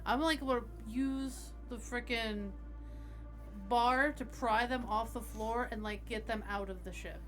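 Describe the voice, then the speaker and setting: A woman with a fairly high voice chats as a player in a tabletop game. Her talk is blunt, slangy and casual, and music is clearly audible behind her. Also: steady tone, a little slow, a bit bright. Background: background music.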